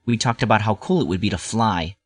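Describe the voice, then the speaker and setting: A man with a lowish voice narrates a scripted story in the first person. He tells it as a nostalgic look back, in the role of storyteller. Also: brisk, slightly rough, dry recording.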